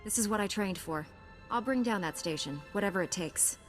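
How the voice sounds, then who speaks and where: A woman's voice: mid-range voice, expressive, a little fast, faint music behind, natural voice. A woman playing a resolute, confident young Jedi knight in a video game, with a firm, earnest delivery. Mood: determined. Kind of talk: scripted game dialogue.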